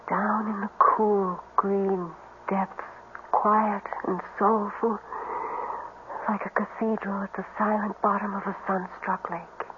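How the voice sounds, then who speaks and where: An actress playing a strange, feverish swamp girl in an old-time radio drama, breathy, languid and melodramatic. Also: medium pitch, natural voice. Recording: muffled, noisy old radio recording.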